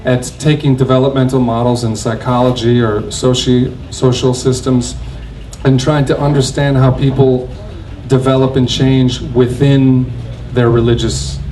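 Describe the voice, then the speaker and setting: A man in his forties speaking as a panel guest in a crowded covered pavilion, measured and reflective, into a stand mic. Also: medium pitch, flat delivery, mellow, a little hiss or hum, poor audio. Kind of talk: panel discussion.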